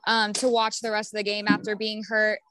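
Young woman reporter, bright tone, halting questions, earbud mic, faint music behind. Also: medium pitch, monotone. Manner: hesitant, with fillers. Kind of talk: press conference questions. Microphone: earbuds on a video call.

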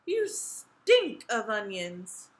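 A woman voicing a loud, commanding, exasperated king and father in a children's storybook. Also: on the high side, unhurried. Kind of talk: children's storybook read-aloud.

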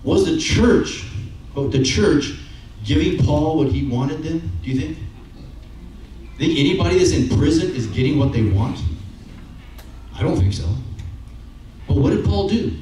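A man's voice: fairly high pitch, lively intonation, unhurried, pauses often, mellow. A middle-aged man preaching a sermon in a church, talking in a conversational way and checking in with the listeners with "okay?" and "right?" as he walks the stage with a handheld mic. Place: church sanctuary with brick wall. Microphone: handheld microphone, far camera. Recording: very echoey room, from far off, faint noise.

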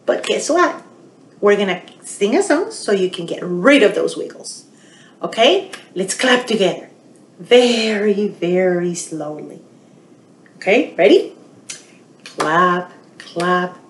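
Animated, unhurried woman in an echoey room. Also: medium pitch, a little hiss or hum. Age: middle-aged. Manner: animated, addressing little children directly. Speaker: children's librarian hosting storytime. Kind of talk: storytime for young children. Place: small indoor room. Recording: roomy, echoey home webcam sound.

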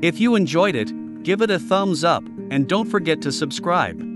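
Male channel host, upbeat scripted welcome, highish and very lively, soft guitar music behind. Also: moderate pace. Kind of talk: scripted channel intro and outro. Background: faint guitar music.

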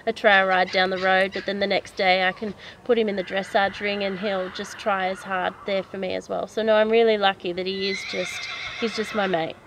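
A woman's voice: medium pitch, flat delivery, a little fast. A woman in her twenties with an Australian accent, chatting casually in an easygoing, unscripted way as a horse rider being interviewed. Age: 20s. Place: outdoors at wooded pony club grounds. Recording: outdoor ambience with background noise.

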